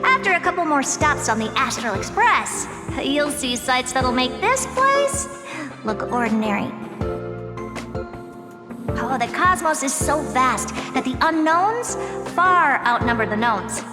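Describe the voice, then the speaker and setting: A woman's voice: high voice, sing-song, unhurried, music in the background. An actress playing a confident, worldly genius woman, breezy and teasing, in a video game cutscene with long reverb. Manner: breezy, self-assured, playful.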